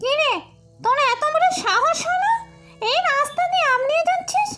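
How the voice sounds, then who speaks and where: Shrill, menacing witch ghost. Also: expressive, normal speed, pushed and bright, faint music behind, exaggerated voice. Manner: menacing, taunting delivery with cackles. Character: vengeful female ghost witch haunting a mango tree. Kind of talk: exaggerated horror cartoon acting.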